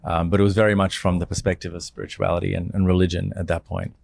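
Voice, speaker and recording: A man in his seventies with an Australian accent, a meditation teacher telling his story at a panel in a relaxed, filler-laden way. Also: deep voice, quick pace, vocal fry, no room sound, studio quality. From Sri Lanka, raised in Australia. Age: 70s. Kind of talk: conversational panel talk.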